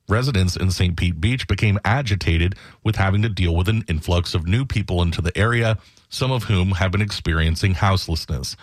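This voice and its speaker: Male news reporter, very low register, scripted narration in a dry close space. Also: no echo.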